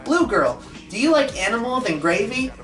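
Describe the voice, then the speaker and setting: A man voicing an excitable, dim-witted big cartoon boy with a loud, eager, childlike delivery. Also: very high voice, moderate pace, echoey room, far from the mic, faint music behind, voice pushed up, exaggerated voice. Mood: excited, happy.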